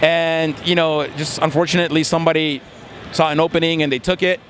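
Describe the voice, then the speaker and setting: A man's voice: on the high side, little pitch movement, a little fast, fairly bright tone, a little hiss or hum. A man in his twenties from Mexico, speaking in a casual interview outdoors, earnest and reflective with many fillers. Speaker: interviewee, competitive gamer and law student.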